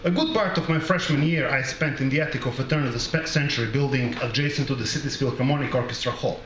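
A middle-aged man from the former Yugoslavia reading his literary short story aloud at a microphone, in a measured, deliberate and wry way. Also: medium pitch, fairly bright tone. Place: small bar with brick wall, indoors. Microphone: close microphone on a stand. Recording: roomy live recording, background noise.

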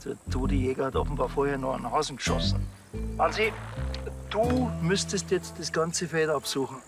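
A middle-aged Bavarian policeman in a crime comedy. He is deadpan and unbothered, with a slightly high male voice. Music sits behind him. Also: normal speed, creaky. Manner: laconic, deadpan.

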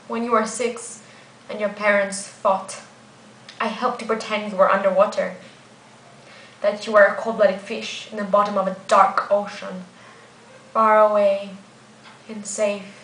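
A woman's voice: mid-range voice, a bit bright, natural voice. Woman acting a solemn guardian angel, echoey room. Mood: tender, protective. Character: ancient female guardian angel, grave, weary, resolute rebel. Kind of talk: theatrical stage monologue.

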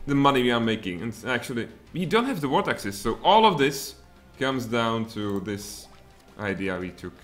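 A man in his thirties with a Czech accent, streaming a strategy game from home in lively, muttered commentary with sudden outbursts. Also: medium pitch, moderate pace, few pauses, soft background music. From Czech Republic. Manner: animated, muttering asides, sudden exclamations. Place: home room, indoors.

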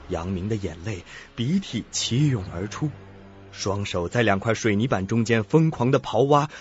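Low-voiced male suspense narrator. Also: muffled recording. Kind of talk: dramatic scripted suspense narration. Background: music.

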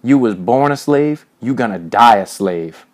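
A man's voice: medium pitch, expressive, quick pace, a little mellow, close to an everyday voice. A man voicing a swaggering, muscular young bully who leads a gang in a story, with sneering, taunting, slangy talk. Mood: mocking, sneering.

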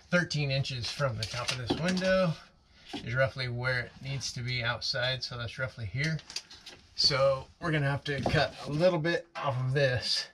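A man's voice: medium pitch, noisy background. A middle-aged man casually explaining how to mount parts on a bus, thinking aloud as he works. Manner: practical, thinking aloud with restarts. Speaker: handyman helping with the build. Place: outdoors by a school bus.

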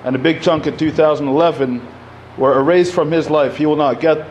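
A man in his thirties from Egypt speaks into a podium mic in a community center hall. His voice sits a little high, and he talks loosely and informally, stacking "you know" and restarts. A faint noise runs under the recording. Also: fairly even intonation, brisk, darkish tone, dull audio. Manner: conversational, many fillers, gestures while speaking. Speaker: panel speaker. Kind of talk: public talk at a community event.

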